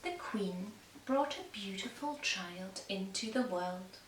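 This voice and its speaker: A woman narrating a fairy tale for small children in a gentle, deliberate way, recorded in an echoey room. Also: mid-range voice, animated, a little hiss or hum. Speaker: puppet-show storyteller. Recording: echoey room, distant microphone.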